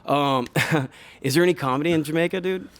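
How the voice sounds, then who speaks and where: A man's voice: a little high, a little fast, dry recording. A middle-aged man hosting a casual podcast, laid-back and chummy, calling his guest "dude". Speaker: podcast host.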